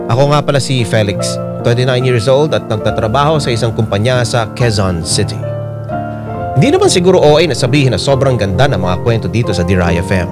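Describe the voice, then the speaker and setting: An actor as earnest young man narrating, lively pitch. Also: lightly acted. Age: 20s. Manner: earnest, confiding. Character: Felix, earnest 29-year-old man telling his love story. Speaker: narrator and letter sender. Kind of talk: dramatised letter narration for radio drama. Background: piano music.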